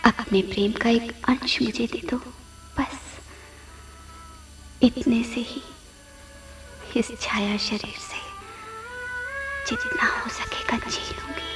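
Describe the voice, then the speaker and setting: An actress playing a young ghost woman in a radio drama, pleading and bitter, with a breathy, dramatic delivery that drops into whispers. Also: fairly high pitch, sing-song, slow, long pauses, over music, low-quality recording. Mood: pleading, yearning. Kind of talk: Hindi radio play acting.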